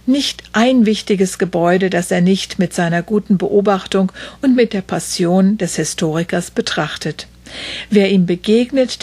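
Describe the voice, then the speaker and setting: Woman podcast host reading her script, bit husky, super dry sound. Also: medium pitch, a little mellow, dry recording. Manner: reads a prepared introduction, measured. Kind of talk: scripted narration.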